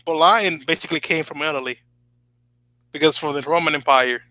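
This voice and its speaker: A man whose first language is Spanish talks in a loose podcast chat, heavy on fillers and restarts. His voice sits a little high and sounds bright and forward. The recording is dry and close, with little room sound. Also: fairly quick, no echo, rough recording. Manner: rambling, many fillers, rising to animated emphasis. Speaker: channel host.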